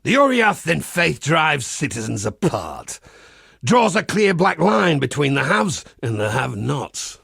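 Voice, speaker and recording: A man voicing a zealous, wry templar priest in a video game, with a gravelly, raspy voice and a preachy, theatrical delivery. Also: fairly high pitch, sing-song, a little slow, a bit bright, dry recording, clean studio sound, exaggerated voice. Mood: grand, preaching. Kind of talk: video game character voice acting.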